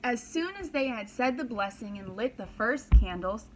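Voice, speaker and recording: A woman teacher reading a storybook aloud to young children, deliberate and expressive, pausing to explain. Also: on the high side, a little hiss or hum, low-quality recording. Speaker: pre-kindergarten teacher.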